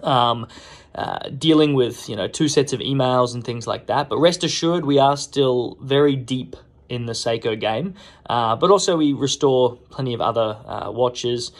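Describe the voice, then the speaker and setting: A man's voice: mid-range voice, normal speed. A man in his thirties, a watchmaker, chatting casually through a tour of his small workshop with lots of "uh" and "um", recorded on a phone held close. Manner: relaxed, rambling with many fillers. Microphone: phone camera held close.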